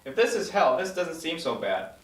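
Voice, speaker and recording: A man's voice: fairly high pitch, very animated, normal speed. Man reading stage directions, echoey room. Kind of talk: scripted narration at a table read. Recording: roomy echo, distant microphone.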